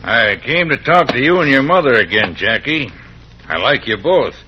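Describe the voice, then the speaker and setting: An actor playing a scheming, bullying rancher villain in an old-time western radio drama, blustering and threatening. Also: male voice, mid-range voice, expressive, moderate pace, slightly rough, muffled recording. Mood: smooth, pushy.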